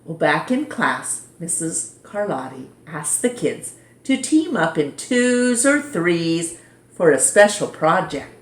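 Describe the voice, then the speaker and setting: A woman narrates a children's storybook in a fairly low voice, taking her time over every phrase. She is expressive and deliberate, shaping the story for young listeners. The room adds a clear echo. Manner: animated, deliberate, dramatizes dialogue for young listeners. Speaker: storyteller reading a picture book aloud. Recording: roomy echo.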